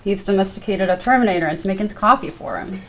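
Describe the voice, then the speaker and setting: Woman acting a wry, mid-pitched police officer. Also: fast talker, fairly bright tone, lightly acted. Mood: teasing. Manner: wry, casual. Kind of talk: table read of a film script.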